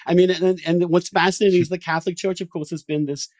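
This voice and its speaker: A man hosting a podcast, talking through opinionated political points in a halting, emphatic way, restarting phrases as he goes. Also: high-pitched, steady tone, a little mellow, no echo, studio quality. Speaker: podcast host.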